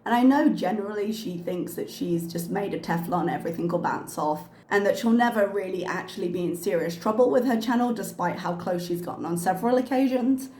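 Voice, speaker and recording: A woman's voice: mid-range voice, a little fast, hardly any pauses, a little mellow. A woman hosting a reaction commentary channel, talking frankly with frequent ums, her voice a little worn from a cough. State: slightly losing her voice from a cough. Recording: echoey room with faint ambience.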